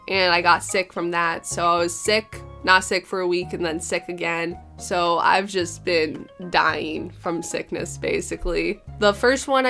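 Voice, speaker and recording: A young woman narrating her drawing process in a chatty, rambling, apologetic way, her voice a little hoarse after being sick. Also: fairly low pitch, a bit bright. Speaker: art channel host. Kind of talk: casual voice-over narration of a drawing.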